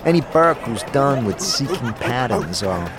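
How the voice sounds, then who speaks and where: A man's voice: medium pitch, very animated, a little slow, crowd noise. A man voicing a wisecracking, jittery talking skull with glib streetwise slang in a fantasy audio drama. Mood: wry, explaining.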